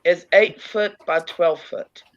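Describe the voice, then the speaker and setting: A woman chatting on a live stream, telling a lively story. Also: on the low side, slow, no room sound, dull audio. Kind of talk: casual live-stream chat.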